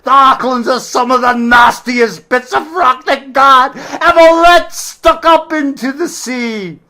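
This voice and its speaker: A man's voice: very high-pitched, measured pace, sharp tone, voice pushed up, heavily acted. A man voicing an unshaven old-time sailor who spins grim sea tales with relish, reading from a novel. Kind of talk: novel read aloud.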